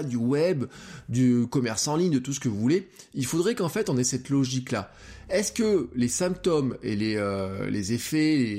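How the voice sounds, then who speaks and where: Lively, hesitant podcast host thinking aloud. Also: male voice, medium pitch. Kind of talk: solo podcast monologue. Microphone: close podcast microphone.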